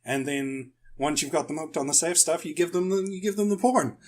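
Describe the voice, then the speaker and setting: A man's voice: on the high side, fairly quick, a little mellow. A man speaking as a podcast guest, explaining his views casually and rephrasing as he goes.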